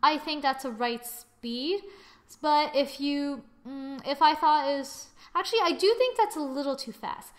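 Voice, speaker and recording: Female tutorial presenter, slightly high voice, informal step-by-step delivery, thinking aloud. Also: unhurried. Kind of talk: casual software tutorial narration.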